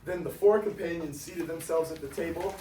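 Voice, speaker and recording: A man's voice: fairly high pitch, fairly even intonation, a little fast, dark tone, close to an everyday voice. A teenage boy reading as storybook narrator in a student reader's theater performance. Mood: narrating. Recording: distant mic, echoey noisy classroom.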